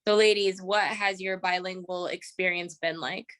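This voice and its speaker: A woman hosts a podcast and introduces the episode. She sets out facts in a tidy, prepared way, speaking in a voice of ordinary pitch with no unusual traits. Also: steady tone, normal speed, a bit bright. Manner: clear and organized, presents facts. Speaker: podcast host.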